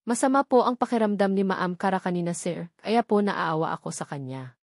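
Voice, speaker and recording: A woman's voice: mid-range voice, fairly quick, dry recording, clean studio sound, natural voice. A woman voicing a distressed young female secretary who pleads and explains earnestly, as in a dramatised novel reading. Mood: pleading, indignant.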